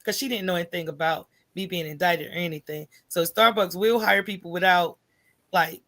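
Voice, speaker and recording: An American woman talking as a podcast guest in an animated, colloquial storytelling way, candid and often checking in with "right?". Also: fairly low pitch, a little fast.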